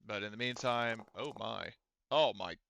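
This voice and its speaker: A man running a tabletop game as game master, talking casually and haltingly as he directs the players. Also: on the low side, vocal fry, fairly bright tone, slight background noise.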